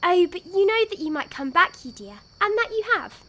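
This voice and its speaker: A woman's voice: extremely high pitch, expressive, brisk, sharp tone, exaggerated voice. A woman playing a sweet, evasive little girl with a coy, innocent manner in a gothic audio drama. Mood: affectionate, evasive. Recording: faint background noise.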